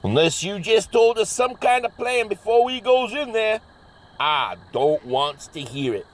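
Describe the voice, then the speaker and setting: A very high, wildly animated hillbilly monster hunter. He has a Southern drawl and cocky, blustering, hot-headed folksy talk, pitched for comedy horror audio drama. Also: unhurried. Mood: defiant.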